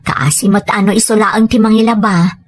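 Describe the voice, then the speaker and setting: A woman with an Ilocano accent playing a gentle, pleading wife and mother in a serial drama, speaking in a plaintive, appealing way. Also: medium pitch, steady tone, normal speed, slight background noise, close to an everyday voice. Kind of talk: radio-style serial drama acting.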